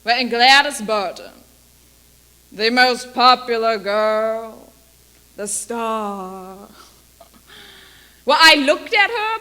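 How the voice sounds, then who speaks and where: A woman playing a brash female Hollywood agent in a dramatic stage monologue, speaking deliberately with long pauses. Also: a little high, pushed and bright. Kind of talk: theatrical dramatic monologue for speech competition.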